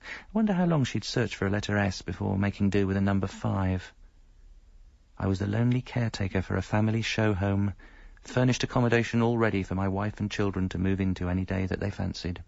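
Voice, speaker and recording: A man's voice: lowish voice, a little fast, vocal fry, a little mellow, no room sound, highs cut off. An actor in his thirties with an English accent playing a wry, self-pitying separated father in a radio comedy drama. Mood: wry, downcast. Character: separated young father, wry, lonely and exasperated. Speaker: lead character and narrator. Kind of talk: radio comedy drama, wry first-person narration and dialogue.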